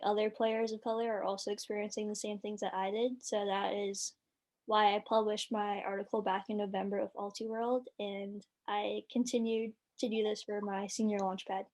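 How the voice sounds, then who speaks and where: A woman's voice: mid-range voice, darkish tone. A young woman introducing her student project in a casual way, often saying "like". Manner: casual, frequent "like". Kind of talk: self-introduction.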